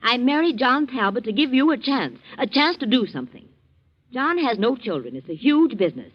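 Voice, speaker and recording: A woman's voice: on the high side, sing-song, fairly quick, slightly rough. An actress playing a scheming, ambitious middle-aged mother in a theatrical, intense 1940s radio drama style. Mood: angry, bitter. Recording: muffled vintage 1940s radio recording.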